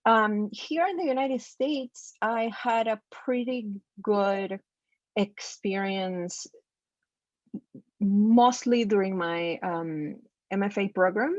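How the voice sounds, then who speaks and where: A woman from Costa Rica with a Spanish accent, a design professor speaking thoughtfully and haltingly on a video call panel. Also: mid-range voice, no echo. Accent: Costa Rican Spanish. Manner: thoughtful, halting, many fillers and restarts. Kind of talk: roundtable discussion. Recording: dull lo-fi video call audio.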